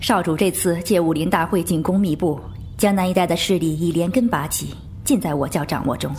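A woman's voice: fairly low pitch, brisk, darkish tone, a little hiss or hum, close to an everyday voice. A woman playing a composed, respectful subordinate of a martial-arts sect in a formal Chinese wuxia audio drama.

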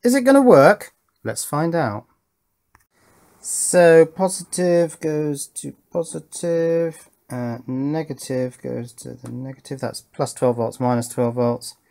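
A man's voice: medium pitch, darkish tone. Man thinking aloud at his workbench. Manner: thinks aloud, asking himself questions. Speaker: electronics hobbyist presenter. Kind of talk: casual hands-on workbench commentary. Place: indoor workbench.

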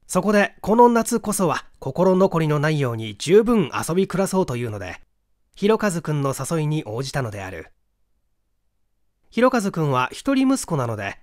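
A man in his thirties reading a literary mystery story aloud in a measured storytelling delivery. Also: on the high side, no room sound, studio quality. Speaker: audiobook reader, stage actor. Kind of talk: literary mystery novel reading, scripted narration.

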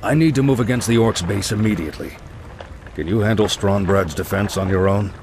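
An actor playing a seasoned knight commander and warm mentor, hearty, courteous and avuncular, in a fantasy game. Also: male voice, lowish voice, a little fast, slight background noise, lightly acted. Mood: resolute. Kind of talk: fantasy game dialogue acting.

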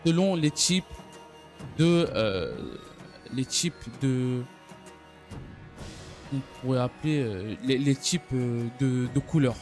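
A man giving an unscripted gaming news recap, speaking haltingly and often stumbling or repeating his phrases. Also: mid-range voice, lively intonation. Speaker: gaming video creator. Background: background music.

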